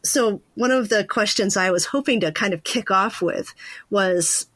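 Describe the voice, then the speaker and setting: A woman's voice: mid-range voice, unhurried, fairly bright tone, no echo. A woman hosting a podcast, talking in a reflective, conversational interview style.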